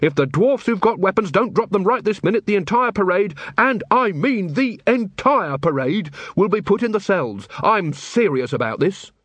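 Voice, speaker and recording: A man's voice: fairly high pitch, sing-song, normal speed, no echo. A man voicing an earnest young city watch corporal with a formal, stern, commanding manner. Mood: stern, angry.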